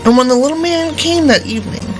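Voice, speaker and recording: A woman's voice: high voice, fast. A woman storyteller reading a fairy tale aloud expressively. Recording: music behind the voice. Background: background music.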